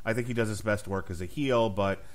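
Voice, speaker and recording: A man's voice: fairly low pitch, brisk. A man hosting a casual podcast, speaking bluntly and profanely with strong opinions. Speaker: podcast host.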